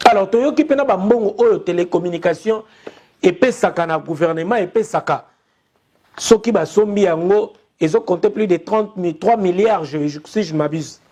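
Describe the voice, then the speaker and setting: A young man with a Congolese accent and a notably high-pitched voice delivers an impassioned commentary. He talks urgently, repeating his points and calling out to his listeners again and again. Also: moderate pace, a little mellow. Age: young adult. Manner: urgent, repetitive, appealing to his audience. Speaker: press commentator.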